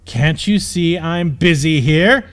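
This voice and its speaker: Bandit captain, fantasy audiobook: cruel, hot-tempered bully, raised pitch, sneering, stretches words for menace. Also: male voice. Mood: furious. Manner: sneering, shouting, exasperated, drawn-out emphasis.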